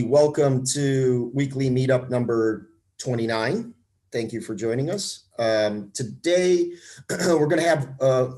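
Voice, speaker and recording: A man hosting an online community meetup over a video call, speaking casually and joking about himself, with frequent fillers. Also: mid-range voice. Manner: relaxed, self-deprecating, many fillers. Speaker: meetup host.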